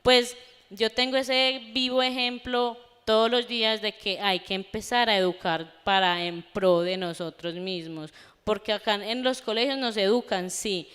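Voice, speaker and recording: A woman's voice: medium pitch, a bit bright, smooth. Earnest young woman. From Colombia. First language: Gunadule. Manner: earnest, halting with restarts. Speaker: audience member. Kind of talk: public forum remarks. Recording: long hall reverb.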